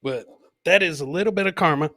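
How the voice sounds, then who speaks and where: Guy podcast host, bright forceful voice, dry close sound, loose chatty riffing, repeats himself. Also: a little high, fast, no room sound. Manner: loose, repeats his phrases, interjects. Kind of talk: casual live commentary on viral clips.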